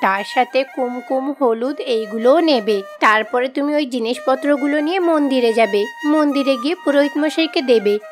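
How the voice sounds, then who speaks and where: Woman as a high-voiced, helpful neighbour. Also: moderate pace, hardly any pauses, soft background music, natural voice. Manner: instructive. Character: helpful young neighbour woman giving kindly instructions. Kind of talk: Bengali fairy-tale cartoon dubbing.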